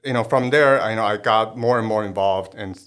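A man's voice: on the low side, brisk, a bit bright. A man, a guest admissions consultant on a podcast, speaking hesitantly with frequent ums and restarts. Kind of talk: podcast interview.

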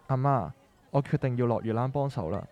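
An earnest young son in a radio drama, acted by a man, speaks Hong Kong Cantonese with a mellow, relaxed tone. He is determined and persuasive, pitched at an ordinary male height. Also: fairly quick, close to an everyday voice. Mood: earnest, hesitant. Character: earnest, determined young adult son of fish-market family. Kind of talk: scripted radio drama dialogue.

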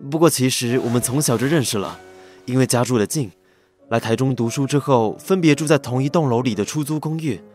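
A male actor plays an affectionate young pastry assistant in a radio play. He is an easygoing man with a mid-range voice and a Taiwanese accent, heard over clear background music. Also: mid-range voice, fairly quick, a little mellow, natural voice. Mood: sincere, fond. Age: young adult. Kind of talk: audio drama acting with narration.

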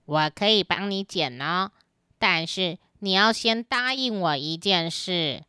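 A woman playing an enchanted male frog, plaintive and bargaining, speaking deliberately in a children's audio drama. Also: fairly low pitch, lively intonation, bright, forceful tone, clear, resonant tone, no room sound, clean studio sound.

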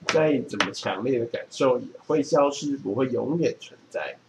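A man with a Taiwanese Mandarin accent, a podcast co-host, reads aloud and comments casually in an easygoing, measured way. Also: mid-range voice, lively intonation, a little hiss or hum, rough recording.

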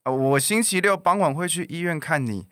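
A young man with a Taiwanese accent acting a shy, earnest, halting student in an audio drama. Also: a little high, lively intonation, noisy background, lightly acted. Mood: shy longing. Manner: halting, awkward. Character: shy, awkward, earnest lovesick male student.

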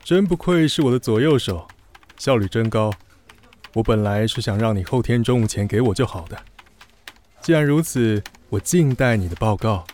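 A man's voice: mid-range voice, expressive, normal speed, a little mellow, a little hiss or hum, lightly acted. Man acting composed, curt company boss. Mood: cool, commanding. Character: brisk, composed, demanding male company boss. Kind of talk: Mandarin radio drama acting.

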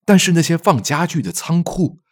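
A man's voice: on the high side, animated, normal speed, dry recording. Guy doing a middle-aged dad in a radio play, reassuring and chatty, voice swings around a lot, really dry sound. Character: middle-aged father, a reassuring, talkative castle gentleman. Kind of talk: audio drama acting.

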